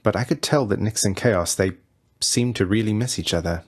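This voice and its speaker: A man's voice: lowish voice, normal speed, slightly rough, no room sound, clean studio sound. A man playing a young, rebellious prince of the underworld in a video game, wry, understated and casually courteous. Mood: curious, concerned. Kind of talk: video game character voice lines.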